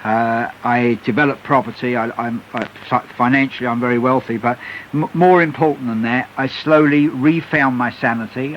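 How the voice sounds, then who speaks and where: A middle-aged man giving candid personal testimony as a radio interview guest, speaking earnestly and repeating phrases for stress. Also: mid-range voice. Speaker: interview guest, author and recovered addict. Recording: muffled hissy shortwave-style radio broadcast.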